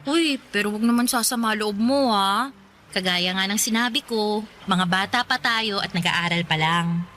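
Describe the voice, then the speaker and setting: A woman's voice: mid-range voice, normal speed, fairly bright tone, slight background noise, lightly acted. A woman acting a cheerful, career-minded young student sweetheart in a radio drama, chatty and playful with a Filipino accent. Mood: gently firm.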